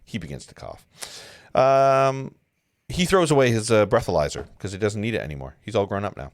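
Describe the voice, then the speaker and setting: A man's voice: on the low side, sing-song, a little fast, no room sound, studio quality. A man hosting a table read, reading stage directions aloud in an informal, amused way with casual asides. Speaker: host and stage-direction reader.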